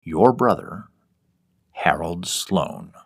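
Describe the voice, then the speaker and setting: A man's voice: low voice, expressive, drawn-out words, gravelly. A man reading his own scripted horror story as a first-person narrator. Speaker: author reading own horror fiction podcast.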